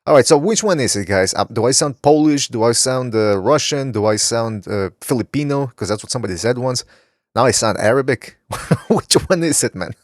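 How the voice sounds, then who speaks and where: A man's voice: medium pitch, animated, a little fast, dry recording, studio quality. A man hosting a casual podcast, rambling as he reads viewer chat comments aloud. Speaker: podcast host. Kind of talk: casual talk show chat.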